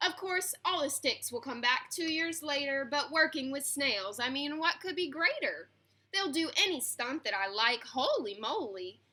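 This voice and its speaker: A woman's voice: high-pitched, expressive, moderate pace, bright, forceful tone. A woman voicing an eager, boastful eight-year-old storybook schoolboy who declaims grandly in rhyme. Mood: excited, boastful.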